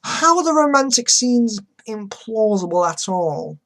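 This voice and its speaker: A woman's voice: on the high side, very animated, unhurried, fairly bright tone. A woman hosting a dramatic reading, commenting with sarcastic, exasperated emphasis. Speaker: host reading an article aloud with commentary.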